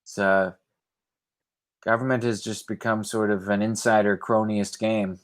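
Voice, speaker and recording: A man reading news stories aloud in a measured way, with informal commentary. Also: lowish voice, level tone, dry recording.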